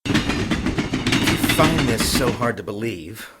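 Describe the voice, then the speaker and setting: Supernatural audio drama: eerie male occultist, low register, measured and menacing. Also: sing-song, heavy background noise. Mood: grim, ominous.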